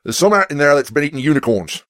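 Big gruff half-giant groundskeeper, loud n rustic, kinda quick, audiobook, dry sound. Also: male voice, on the high side, expressive, no echo. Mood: serious, cautioning. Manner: plain-spoken, rustic, cautioning. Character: huge gruff male half-giant groundskeeper, earnest, protective. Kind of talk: audiobook character acting.

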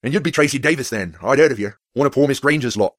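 Man doing big gruff half-giant groundskeeper, loud n rustic, kinda quick, audiobook, dry sound. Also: medium pitch, no room sound, close to an everyday voice. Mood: gruff, appraising. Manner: plain-spoken, rustic, cautioning. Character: huge gruff male half-giant groundskeeper, earnest, protective. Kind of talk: audiobook character acting.

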